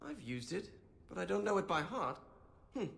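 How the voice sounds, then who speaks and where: A man playing a fussy, bookish young mage in a video game, with a nervous, excitable, pedantic manner. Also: high-pitched, normal speed, creaky, slight background noise, exaggerated voice. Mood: explaining.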